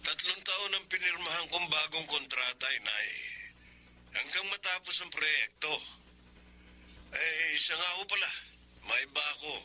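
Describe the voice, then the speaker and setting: A man's voice: fairly high pitch, animated, a little slow, pauses often, bright, forceful tone, full character voice. A Filipino man playing a dutiful, earnest adult son in a radio drama. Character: Ramon, dutiful, earnest engineer son working abroad.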